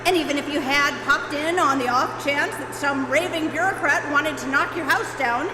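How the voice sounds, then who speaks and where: A woman's voice: high-pitched, moderate pace, noisy background, close to an everyday voice. A woman playing a stubborn, sarcastic, indignant male homeowner in a live radio comedy, dry and exasperated. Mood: indignant sarcasm. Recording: echoey hall with music behind.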